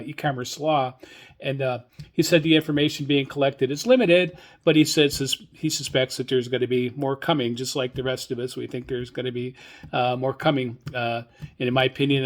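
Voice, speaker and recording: An older man with a mid-range voice talks as the solo host of a hobby radio podcast. He gives informal commentary on news, moving haltingly and rambling from point to point. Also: medium pitch. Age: older, 60s or above. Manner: hesitant, halting, rambling delivery.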